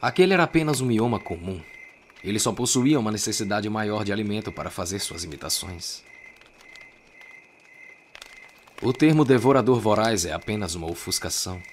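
A man with a Brazilian accent voicing a sly, condescending agent in a measured, mocking way for an anime fan dub. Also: lowish voice, lively intonation, slight background noise, voice pushed down, natural voice. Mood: mocking, composed.

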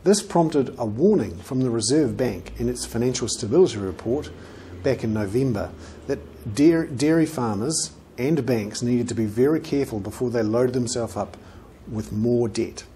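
A middle-aged man with a New Zealand accent presenting an economic commentary to camera in measured, emphatic phrases with deliberate pauses. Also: medium pitch, animated, warm tone, a little hiss or hum. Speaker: financial journalist presenting an economic report. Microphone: lapel mic.

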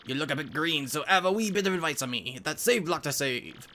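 A man voicing a rough, cheeky dockside ruffian in a video game, blunt and slangy. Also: fairly high pitch, animated, quick pace, bright, forceful tone.

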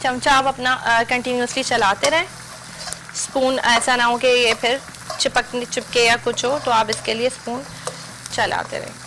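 A young woman with a slightly high voice teaches a recipe step by step on a TV cooking show. She speaks to viewers directly and instructively from a studio kitchen set. Her broadcast recording has steady noise and a sizzling pan behind her. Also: measured pace. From Pakistan. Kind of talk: TV cooking demonstration.

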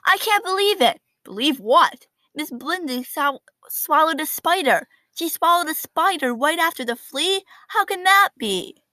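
An astonished, excitable schoolchild from a picture book, acted out by a woman reader. The voice sits high and bright, full of exclamations and disbelieving questions. The sound is close and dry. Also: unhurried, no room sound, heavily acted. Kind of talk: children's picture book read aloud.

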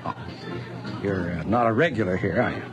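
An actor playing a nosy, amiable bartender in a radio drama, chatty and hesitant, often chuckling. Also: male voice, low-pitched, fairly quick, over music, crowd noise, natural voice. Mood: nosy, friendly. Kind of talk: 1980s radio drama acting.

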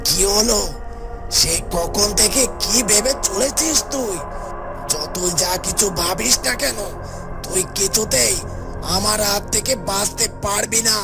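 A performer voicing a wicked, taunting demon with gravelly menace in a melodramatic cartoon fairytale. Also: mid-range voice, a little slow. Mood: taunting, menacing. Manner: taunting, threatening. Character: wicked gloating demon monster, menacing bully. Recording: loud background music.

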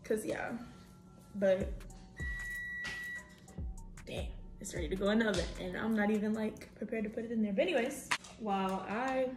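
A young woman in her twenties chatting casually to camera in a home kitchen, slangy and animated as she cooks. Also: mid-range voice, moderate pace, pauses often, echoey room, music in the background, rough recording. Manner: chatty, slangy, animated, talks while cooking. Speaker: vlogger. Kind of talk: casual vlog chat to camera. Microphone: camera on a tripod at a distance.

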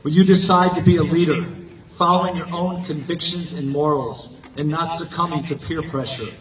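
Older man, somewhat high voice, earnest commencement speaker, short measured phrases, distant echoey stadium PA sound. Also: steady tone. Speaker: high school coach and teacher giving a graduation speech. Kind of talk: prepared commencement speech. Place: outdoor football stadium, recorded from the stands. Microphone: podium microphone over PA, captured by distant handheld camera. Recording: echoey stadium PA heard from far off.

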